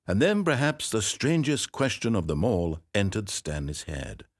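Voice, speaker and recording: A wry, theatrical storyteller whose husky, lower voice leaps wildly up and down in pitch. He narrates a video game from a dry studio booth, swinging between hushed asides and sudden exclamations. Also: very animated, measured pace, no room sound.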